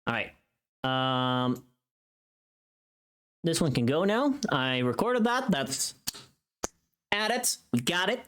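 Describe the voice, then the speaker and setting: Streamer with animated, swooping intonation. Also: medium pitch, slow, long pauses, smooth. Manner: informal, profane, thinking aloud. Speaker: livestream host. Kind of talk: casual livestream chat while working.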